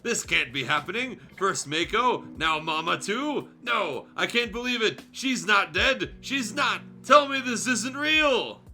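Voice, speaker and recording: A young girl dinosaur from a story, acted out by a man, outspoken and emotional. The voice is bright and intense, with music underneath. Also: high-pitched, lively intonation, a little slow, few pauses, voice pushed up, heavily acted. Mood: disbelieving grief. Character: distraught, defiant young female dinosaur. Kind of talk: fan fiction read aloud. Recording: music behind the voice.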